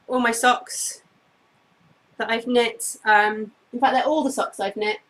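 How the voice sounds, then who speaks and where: Chatty, bright-voiced woman podcaster, sniffly. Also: mid-range voice. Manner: chatty, apologetic, goes off on tangents with many ums. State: a bit sniffly. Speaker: knitting podcast host. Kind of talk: casual video podcast chat. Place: bedroom at home, indoors.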